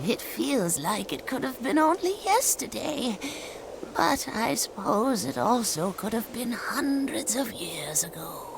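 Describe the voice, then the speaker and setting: A woman voicing a mysterious, knowing fortune teller in a game cutscene, speaking in a slow, cryptic, whispery way. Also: a little high, sing-song, gravelly, full character voice. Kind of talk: video game cutscene acting. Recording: game audio with ambient noise.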